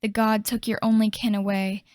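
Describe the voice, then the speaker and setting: A woman playing a solemn storyteller, narrating a fantasy game legend in a measured way. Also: medium pitch, little pitch movement, darkish tone, no echo, clean studio sound, close to an everyday voice.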